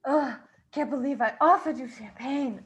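A woman's voice: sits high, moderate pace, natural voice. A woman playing a theatrical, easily exasperated mother of a grown son in improvised comedy. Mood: indignant. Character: indignant champagne-offering mother of a grown son.

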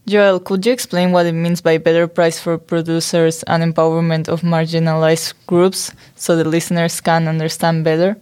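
Young Spanish-born woman, lowish voice. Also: flat delivery, normal speed, hardly any pauses, a little mellow, clear, resonant tone. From Spain. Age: young adult. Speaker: podcast co-host. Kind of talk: student podcast, reading lists.

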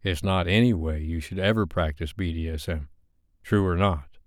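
A man voicing a blunt, stern, irritated man with a deep voice in an audiobook. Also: normal speed, dry recording, studio quality, lightly acted.

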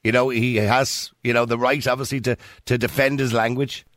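A man's voice: mid-range voice, little pitch movement, fairly quick, fairly bright tone. An Irish man hosting a radio phone-in, challenging callers and jumping in to steer the talk. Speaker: radio host.

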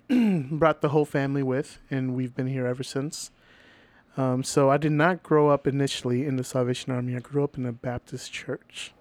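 A young man from Venezuela telling his story as a podcast guest, loose and goofy, laughing between thoughts. His voice sits at a middle pitch. The sound is dry and close. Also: normal speed, darkish tone, no echo. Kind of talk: casual chat.

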